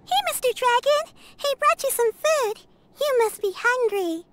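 A woman's voice: very high voice, expressive, unhurried, a bit bright, a little hiss or hum, full character voice. A woman voicing a sweet, innocent little girl in a video game, with an earnest, childlike delivery. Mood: friendly, conspiratorial.

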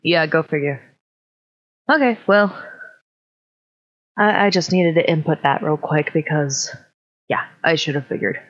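A woman comments casually on a video game. Her voice sits low, and the headset sound is dry and slightly dull. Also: unhurried, long pauses, dry recording. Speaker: gaming streamer. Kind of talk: casual let's play commentary.

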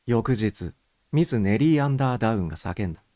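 Low-voiced male audiobook narrator. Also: lively intonation, a little fast, mellow, no echo, studio quality. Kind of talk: scripted first-person mystery narration.

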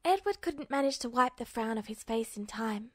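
High-voiced woman narrating fiction. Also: lively intonation, normal speed, no echo, clean studio sound. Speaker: audiobook narrator.

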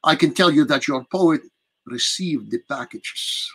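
A man voicing an aged aristocratic vampire prince who speaks slowly and commandingly. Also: fairly high pitch, fairly bright tone, breathy edge, heavily acted. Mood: cold. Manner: slow, deliberate, commanding.

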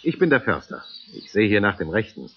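Friendly forester, lively intonation. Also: male voice, lowish voice, fairly quick. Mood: friendly, coaxing. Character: friendly adult male forester chatting with children. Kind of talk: radio play acting. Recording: dull lo-fi cassette, faint noise. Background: birdsong, outdoor ambience.

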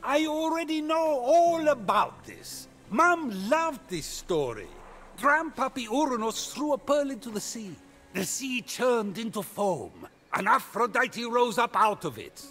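Childish, boastful god-king for a comedy game: theatrical, drawn-out, swooping delivery over music. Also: very high voice, very animated, a bit bright, full character voice. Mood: petulant. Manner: theatrical, indignant, comic bluster. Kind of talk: comic video game narration and banter. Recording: music behind the dialogue.

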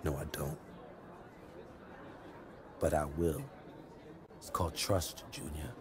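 An actor playing a proud, loyal middle-aged sports agent with a deliberate, streetwise, earnest delivery in a video game. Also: male voice, a bass voice, steady tone, crowd noise. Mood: firm, persuasive. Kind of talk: dramatic game cutscene dialogue.

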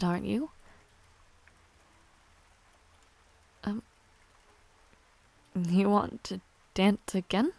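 A woman playing a young noble villainess in a romantic audio roleplay, proud yet shy and tender, speaking softly in formal, halting phrases. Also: medium pitch, vocal fry, no room sound. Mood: fond, hesitant.

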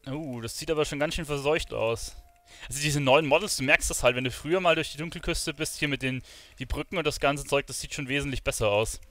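A man's voice: a little high, fast talker, a bit bright, no echo. A man streaming a video game, thinking aloud in informal commentary sprinkled with English gamer slang. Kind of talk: casual live gaming commentary.

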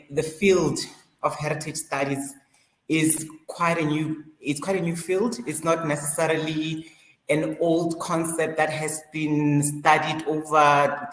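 Female panelist, explanatory, voice ringing in a reverberant room. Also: low-pitched. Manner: explanatory, rising emphasis. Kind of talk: answering on an online panel. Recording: roomy echoing video call.

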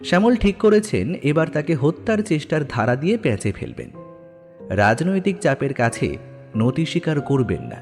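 Dramatic male storyteller, lively pitch, music underneath. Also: moderate pace. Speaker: narrator of horror stories. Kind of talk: dramatic scripted story narration.

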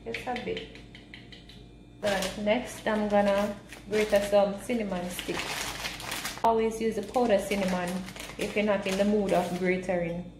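A woman giving a casual, step-by-step home recipe demonstration in simple, unhurried instructional talk. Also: mid-range voice, steady tone. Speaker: home cooking vlogger. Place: small home kitchen, indoors. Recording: roomy echo, clear background noise.

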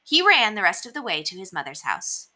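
A young woman reading children's stories aloud as an expressive storyteller. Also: mid-range voice, a little fast. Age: young adult. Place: small indoor room.

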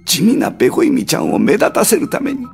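Creaky, pompous alien captain, wildly animated. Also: male voice, high voice, fast talker, soft background music, full character voice. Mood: scheming. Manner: grandiose, old-fashioned authoritative speech, dramatic outbursts. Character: pompous, excitable male alien spaceship captain. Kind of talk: anime drama CD acting.

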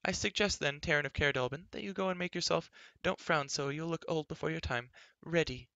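Man putting on a high, gushing storybook queen. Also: a little fast, gravelly, pushed and bright, no room sound, highs cut off. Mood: delighted, fussing. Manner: effusive, motherly fussing. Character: gushing, fussy, good-natured queen. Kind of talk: acted audiobook dialogue.

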